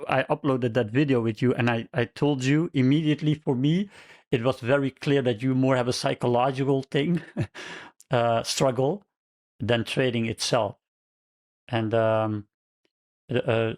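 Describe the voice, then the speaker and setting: Thoughtful man hosting a trading chat. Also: mid-range voice. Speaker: trading channel host. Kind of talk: casual interview chat.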